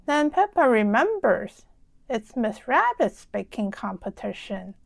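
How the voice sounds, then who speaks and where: Woman reading a kids picture book, high voice, slow n expressive, really dry recording. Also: gravelly, dry recording. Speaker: children's read-aloud storyteller. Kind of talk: scripted storybook narration for young children.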